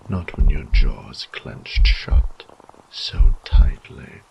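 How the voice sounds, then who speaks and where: Man acting a very deep, gravelly sadistic vampire lord. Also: expressive, lo-fi sound, noisy background, exaggerated voice. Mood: cruel relish. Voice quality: deep, gravelly, breathy low murmur. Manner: slow, condescending, mock-tender menace. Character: sadistic, taunting male vampire lord, ancient and possessive. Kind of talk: horror roleplay audio drama monologue.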